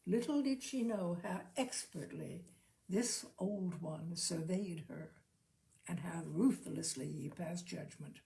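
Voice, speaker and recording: A woman narrating a literary short story expressively, with measured, careful phrasing. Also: lowish voice, gravelly, dark tone, low-quality recording. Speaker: audiobook reader, storyteller.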